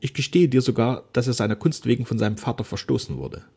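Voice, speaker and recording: A man's voice: medium pitch, animated, quick pace, vocal fry, dark tone, slightly rough, voice pushed up. A man reading aloud voices a young noblewoman pleading fervently with her father. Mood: confessing. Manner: raised, fervent pleading delivery. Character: young noblewoman daughter, devoted and earnest.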